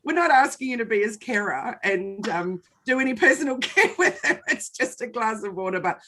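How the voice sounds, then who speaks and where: Animated woman telling personal stories. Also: a little high, moderate pace. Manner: animated, expressive storyteller. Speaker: guest. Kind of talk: personal storytelling in conversation.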